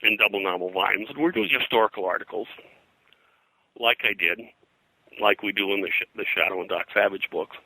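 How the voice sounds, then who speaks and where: An older man with a low voice recalls his career in a conversational interview. He rambles and halts, doubling back on words. The sound is sharp and forceful, captured very dry and close. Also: no room sound, low-quality recording. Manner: rambling, halting, repeats words. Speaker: interview guest, pop culture historian and pulp publisher.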